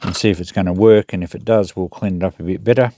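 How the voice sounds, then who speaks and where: A man's voice: low-pitched, fairly even intonation, fast, mellow, no room sound. A man giving casual, unscripted commentary as he thinks aloud through a hands-on repair job. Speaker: host of a repair channel.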